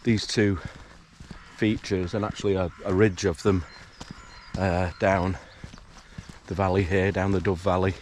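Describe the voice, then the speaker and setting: A man narrating a morning countryside walk in a relaxed, hesitant way, full of fillers, his voice a little croaky from just waking up. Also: deep voice, a little slow, a little hiss or hum. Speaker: hiking vlogger. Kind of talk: casual walking commentary. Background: rural outdoor sounds, animals.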